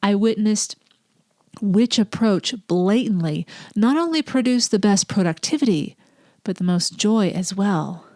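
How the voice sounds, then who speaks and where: A woman's voice: mid-range voice, lively intonation, a little slow, a little mellow, no room sound, clean studio sound. Nasal, congested woman podcast host. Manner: earnest, reflective, drops to breathy whispers at times. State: congested with a cold. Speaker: teacher. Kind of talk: casual solo podcast talk.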